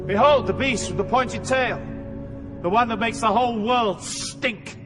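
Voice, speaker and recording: High-voiced, commanding guide through hell. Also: male voice, a little slow, music in the background. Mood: ominous proclaiming. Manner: declamatory, raised voice, commanding. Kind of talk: dramatized audio classic.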